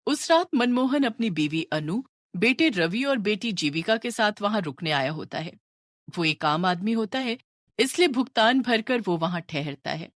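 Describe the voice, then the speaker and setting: Expressive woman narrating horror. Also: mid-range voice, normal speed, no room sound, studio quality. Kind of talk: scripted horror story narration.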